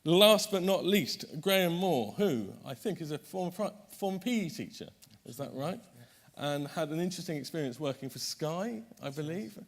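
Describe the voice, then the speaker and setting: A man's voice: a little high, lively intonation, normal speed, hardly any pauses. A man chairing a conference panel, introducing the speakers in a chatty way, correcting himself often and making light jokes.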